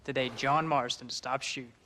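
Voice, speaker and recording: A man's voice: medium pitch, steady tone, moderate pace, bright, forceful tone, natural voice. An actor playing a bookish, polite teenage farm boy, a rancher's son in a dramatic video game. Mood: dry, teasing.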